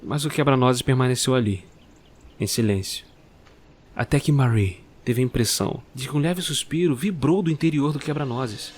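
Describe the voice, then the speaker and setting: A man narrating a dark story in a measured, dramatic way. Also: medium pitch, slight background noise. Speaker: narrator and producer.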